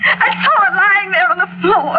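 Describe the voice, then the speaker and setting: High-voiced, frantic, unhinged wife, old radio. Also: female voice, moderate pace. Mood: panicked denial. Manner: stammering, pleading, melodramatic. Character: terrified, unhinged wife tormented by guilt and hallucinations. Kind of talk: 1940s radio mystery acting. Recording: tinny vintage radio broadcast with music.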